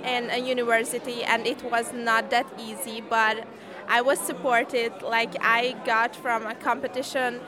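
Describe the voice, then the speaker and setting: Higher-voiced young woman amid crowd. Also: flat delivery, measured pace. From Hungary. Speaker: science competition participant being interviewed. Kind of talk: street-style interview answers. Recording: busy crowd chatter behind the voice. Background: crowd chatter.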